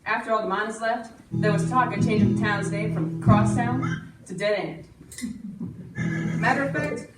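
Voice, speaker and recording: A woman's voice: mid-range voice, a little slow, long pauses, over loud music, lightly acted. A young woman playing a practical, folksy general store shopkeeper in an amateur stage play. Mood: brisk, firm. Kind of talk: projected amateur stage acting. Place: school stage, distant camera. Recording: echoey room from far off, noisy.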